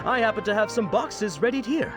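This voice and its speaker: An actor playing a gallant, jolly festival knight in a video game, speaking in a hearty theatrical way to entertain children. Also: male voice, high-pitched, animated, fairly quick, over music. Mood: cheerful. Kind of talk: English video game voice acting.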